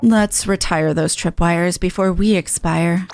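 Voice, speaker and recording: A woman voicing a cool, laconic, dry young agent from a video game, in a terse, low-key way. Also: steady tone, measured pace, vocal fry, a little mellow. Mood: deadpan.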